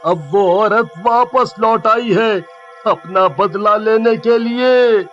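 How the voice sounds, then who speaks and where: Very high-pitched panicky village man acted out. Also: slow, a bit bright. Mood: irritated, scared. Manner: whiny, pleading, exclamatory. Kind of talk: comic horror cartoon story acting. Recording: dull, lo-fi with music. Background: music.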